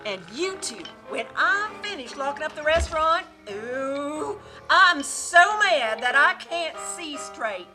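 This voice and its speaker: A woman's voice: high-pitched, animated, slow pace, few pauses. A woman playing an indignant restaurant owner and protective mother in an amateur Western radio play, scolding and exasperated. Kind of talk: amateur old-time radio play acting. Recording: music behind the voice.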